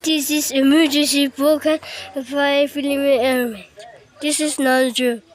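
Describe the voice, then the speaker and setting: A woman's voice: high voice, level tone, normal speed, a crowd in the background. A woman reading a scripted emergency broadcast alert in short, stilted, repetitive phrases.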